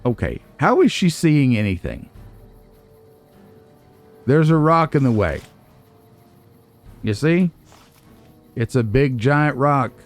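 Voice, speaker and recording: A man hosting a let's play with casual, musing commentary, as if thinking out loud to himself. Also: mid-range voice, big pitch swings, slow pace, pauses often, soft background music. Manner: musing, nitpicking, talks to himself.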